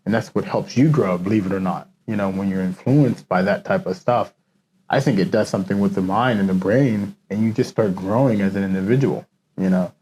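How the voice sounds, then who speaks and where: A man's voice: lowish voice, a little fast, darkish tone, slight background noise, rough recording. A man talking as the guest in a casual interview, reflective and loose, with many fillers.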